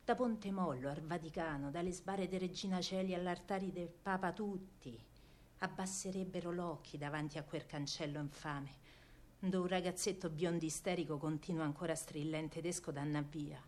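A woman's voice: fairly low pitch, fairly even intonation, moderate pace, a little mellow, natural voice. A feisty working-class woman from wartime Rome , played by an actress, tells her tale theatrically. She speaks in a thick, colloquial Roman accent, with earthy turns of phrase, in a dramatic radio monologue. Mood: hushed, grief-stricken. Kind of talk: dramatic first-person radio monologue in dialect.

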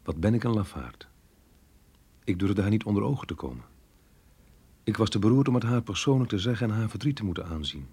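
Radio drama: remorseful married diarist, low register, slightly rough tone, reflective and measured. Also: male voice, lively intonation, warm tone, no echo, full character voice. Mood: guilty, resigned. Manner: earnest, reflective, measured delivery. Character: married man, melancholy diarist torn by guilt over lost love. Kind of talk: radio drama monologue, reading a diary.

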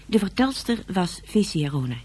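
A woman's voice: mid-range voice, very animated, moderate pace, a little mellow, slightly rough. A woman announcer reading scripted credits for a radio drama.

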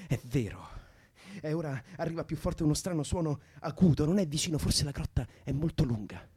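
A man's voice: fairly high pitch, animated, brisk, a little mellow, husky. An actor playing a young man in a radio drama, soothing a distressed woman with colloquial, improvised-sounding stories. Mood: absorbed storytelling. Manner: coaxing, colloquial, imaginative. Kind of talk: Italian radio drama acting.

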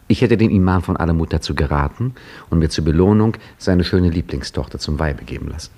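A wry, ironic storyteller in an old radio play, his voice somewhat low and slightly husky. He tells his own tale with dry theatrical relish. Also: expressive, fairly quick, warm tone, full character voice. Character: Hakim, wry storyteller looking back on his youth, lively and ironic. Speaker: narrator and protagonist. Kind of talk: 1950s radio play acting.

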